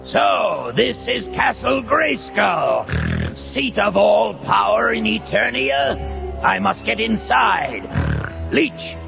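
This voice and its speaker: A male actor voicing an evil, scheming warlord of a horde in a cartoon storybook, gravelly, menacing and grandiose. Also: high voice, sing-song, slow pace, exaggerated voice. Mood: commanding, greedy. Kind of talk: 1980s cartoon voice acting for a storybook record. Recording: dull lo-fi tape with music behind.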